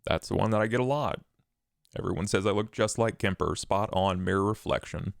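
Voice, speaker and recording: A man playing a coy, probing male vampire in a tabletop game, speaking in unhurried, deliberate phrases. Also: lowish voice, vocal fry, dry recording, clean studio sound. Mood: teasing. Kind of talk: tabletop roleplay character acting.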